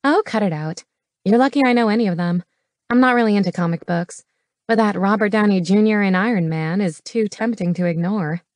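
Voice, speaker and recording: A narrator playing a feisty woman in an audiobook, sharp-tongued and impatient. Her lines come out snappy and sarcastic, in a dry, close recording. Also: mid-range voice, brisk, no room sound, lightly acted. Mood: teasing. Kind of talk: audiobook character dialogue.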